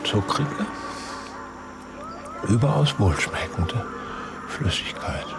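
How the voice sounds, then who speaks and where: Radio drama: gaunt, wondering old male hermit, extremely slow and deliberate, deep, over clear background noise. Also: very animated, full character voice. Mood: savouring wonder. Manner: deliberate, savouring each word.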